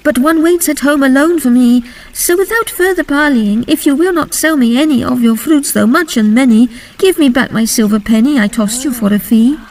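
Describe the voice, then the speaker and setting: An earnest young maiden, played by a woman, with a high voice set back from the mic. She delivers storybook verse with dramatic emphasis, as in a gothic fairy tale. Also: normal speed, few pauses, a little mellow, far from the mic, poor audio. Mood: firm, polite.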